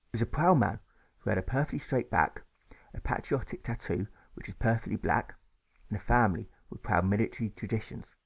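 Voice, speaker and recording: A man's voice: on the low side, creaky. Man narrating a rhyming audiobook. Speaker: author reading his own audiobook.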